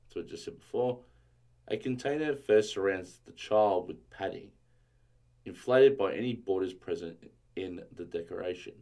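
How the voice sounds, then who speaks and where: A man streaming a casual coding tutorial, thinking aloud and reading documentation, his voice a little strained from yelling. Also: low-pitched, expressive, normal speed.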